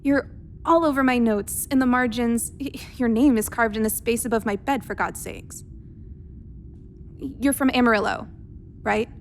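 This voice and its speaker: A woman's voice: fairly high pitch, normal speed, long pauses, slight background noise, natural voice. A woman playing a tender, wry, smitten doctor in a radio drama, in a hesitant conversational way. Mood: smitten, confessing. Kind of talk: naturalistic radio drama acting.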